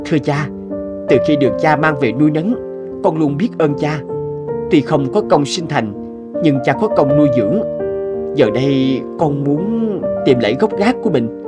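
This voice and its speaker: A man as an earnest, animated young scholar-official. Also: fairly high pitch, normal speed, over loud music, close to an everyday voice. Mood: earnest, respectful.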